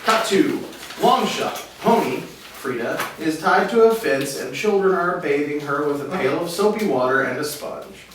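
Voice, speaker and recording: Guy reading out script directions, bit high voice, echoey, off mic, faint noise. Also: expressive, unhurried, recorded from a distance. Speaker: stage-direction narrator. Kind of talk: scripted narration at a table read.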